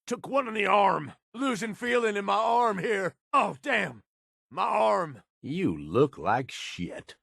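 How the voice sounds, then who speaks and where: A man voicing a cynical, ruthless raider henchman in a video game, with a rough husky voice and a folksy, slangy, sardonic drawl. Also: high voice, very animated, slow, fairly bright tone, no room sound, studio quality, full character voice. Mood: pained, shouting, then scolding, sarcastic. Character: gruff cynical ruthless male raider henchman in a post-apocalyptic wasteland.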